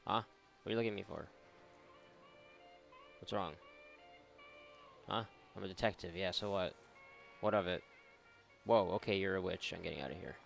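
Male let's player, very low gravelly voice, rambling sweary jokes, faint game music underneath. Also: moderate pace, long pauses. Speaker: gaming video host. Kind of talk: casual let's play commentary.

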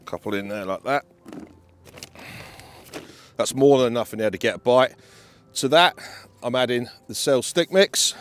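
A man's voice: medium pitch, lively intonation, moderate pace, pauses often. A British man with a British accent, presenting a fishing feature as an angler and explaining things to camera. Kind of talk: instructional talk to camera. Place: outdoors on a lake bank.

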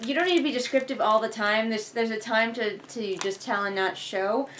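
A woman's voice: a little high, normal speed, fairly bright tone. A young woman giving casual, rambling, sarcastic commentary and swearing offhand, recorded indoors a few feet from the camera. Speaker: book reviewer reading along. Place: living room couch, indoors. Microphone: camera a few feet away. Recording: noisy living room camera audio.

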